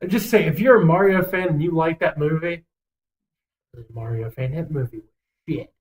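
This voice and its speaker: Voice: mid-range voice, normal speed, darkish tone, poor audio. A young adult podcast co-host giving opinions in casual banter, swearing freely and rambling emphatically.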